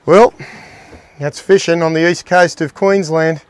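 A middle-aged man hosting a fishing show, giving excited, exclaiming commentary from a small boat. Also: on the high side, unhurried, smooth. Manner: enthusiastic, exclaiming, talks to the fish and his mate.

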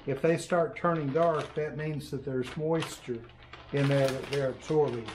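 Older man, unhurried DIY instructor on a lapel mic, faint shop noise in the room. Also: medium pitch, fairly even intonation. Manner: unhurried, plainspoken, pauses with fillers while working. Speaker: workshop host. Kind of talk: casual how-to demonstration. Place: large workshop with shelves of printers, indoors.

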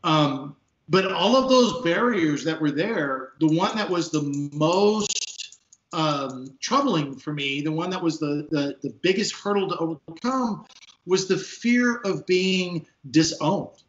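A man in his fifties from Texas, a police sergeant, speaking as an interview guest in earnest, emphatic talk with a big booming voice, recorded on a video call from an office. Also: animated, slow pace, fairly bright tone. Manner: emphatic, repeats words when stressing a point. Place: office at a desk. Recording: echoey, dull video call audio.

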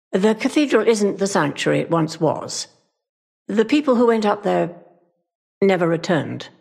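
An actress playing a sorrowful, guilt-ridden older woman guarding the way in a video game, speaking gravely and solemnly in a husky, gravelly voice. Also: on the low side, a little mellow, heavily acted. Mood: grave warning. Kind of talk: video game character acting.

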